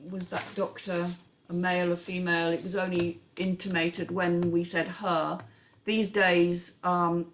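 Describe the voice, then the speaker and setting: A woman hosting a panel and giving measured, candid feedback on a script. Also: on the low side, creaky. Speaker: host and script critic.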